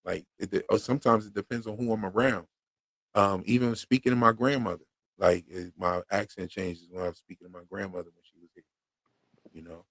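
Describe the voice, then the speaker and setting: A man's voice: lowish voice, fairly even intonation, a little fast, fairly bright tone, husky, no room sound. A man from Pennsylvania with a Philadelphia accent, hosting a casual livestream chat in a slangy, laid-back way full of fillers. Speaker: podcast host.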